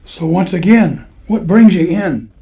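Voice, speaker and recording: A man's voice: high-pitched, animated, a little slow, creaky, mellow, lo-fi sound, exaggerated voice. A man playing an eccentric, kindly church caretaker who talks in a folksy, knowing, preachy way in a western radio drama. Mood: friendly, wry. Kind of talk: old-time radio western acting.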